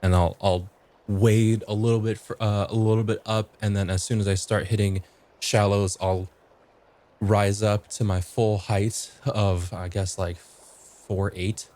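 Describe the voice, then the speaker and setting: A man's voice: fairly low pitch, flat delivery, a little slow, warm tone. A man speaking casually as a guest player, improvising his character's actions in a tabletop roleplaying game.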